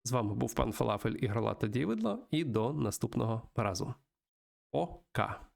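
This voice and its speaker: A man with a creaky voice, hosting a let's-play, reading a visual novel aloud and adding his own commentary. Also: medium pitch, very animated, normal speed. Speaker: host of a video game let's-play show.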